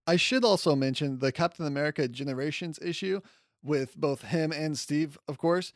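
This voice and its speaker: A man's voice: a little high, no room sound, studio quality. A man hosting a solo podcast, talking in a chatty, enthusiastic and casual way. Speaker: podcast host.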